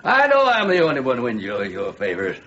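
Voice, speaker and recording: A man's voice: mid-range voice, huge pitch swings, fairly quick, pushed and bright. A man voicing a bluff, teasing village man who flirts and chuckles, in a radio drama. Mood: jovial. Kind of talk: 1990s radio drama acting. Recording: dull radio recording with faint hiss.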